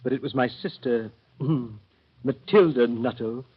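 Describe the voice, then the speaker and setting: Nervous prim gentleman, husky voice, throat-clearing, dull old radio sound. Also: mid-range voice, slow pace. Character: nervous fussy man on a rest cure. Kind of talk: 1930s radio drama narration.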